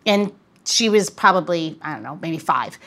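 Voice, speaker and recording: A woman's voice: deep voice, lively intonation, moderate pace, a bit bright. A middle-aged woman hosting a podcast, chatting casually and bluntly, acting out little exchanges as she talks. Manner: blunt, informal, acts out short exchanges. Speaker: podcast host. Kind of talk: casual chat.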